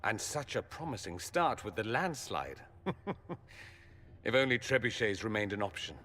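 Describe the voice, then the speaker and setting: Sharp-tongued game mage: animated, bright voice, dry wit, articulate, hall reverb. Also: male voice, lowish voice, moderate pace, full character voice. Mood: wry, sardonic. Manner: sardonic, articulate. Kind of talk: dramatic video game acting.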